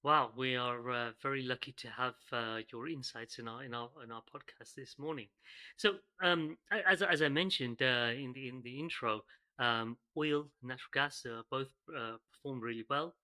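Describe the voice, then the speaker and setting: A man's voice: medium pitch, pushed and bright. A man hosting a podcast, reading a scripted intro and disclaimer, then asking questions with fillers and restarts. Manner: reads a compliance disclaimer at a brisk clip, hesitant with fillers when unscripted. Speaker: podcast host.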